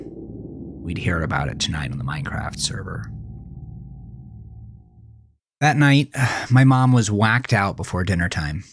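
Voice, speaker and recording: A man playing a troubled teenage boy who narrates his own horror story in a brooding, confessional way, often dropping to a hushed murmur. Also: sits low, slightly rough, exaggerated voice. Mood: weary, confiding. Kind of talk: dramatic first-person horror audio narration. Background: music.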